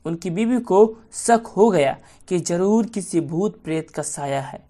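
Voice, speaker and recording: Slow-paced woman telling children's stories. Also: on the high side, a little mellow. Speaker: storyteller. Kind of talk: children's bedtime story narration.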